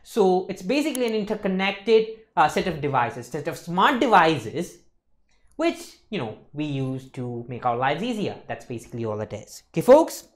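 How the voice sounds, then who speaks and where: Young man lecturing, very animated pitch. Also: moderate pace. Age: young adult. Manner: emphatic, repeats checking tags like "okay, folks" and "isn't it". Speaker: accountancy lecturer. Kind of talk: explanatory lecture to camera. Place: indoor lecture studio.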